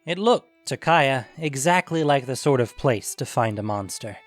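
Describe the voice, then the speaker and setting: A male narrator reads a scripted fantasy story. His voice sits at an average pitch. Faint music plays behind the narration. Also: expressive, moderate pace.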